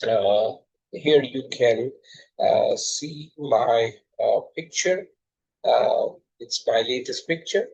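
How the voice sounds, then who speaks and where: A man's voice: mid-range voice, takes it slowly, creaky, a little mellow, slightly rough, lo-fi sound. Hesitant Pakistani man lecturing. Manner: hesitant, frequent uh fillers. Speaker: lecturer introducing his textbook. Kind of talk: slide-based lecture.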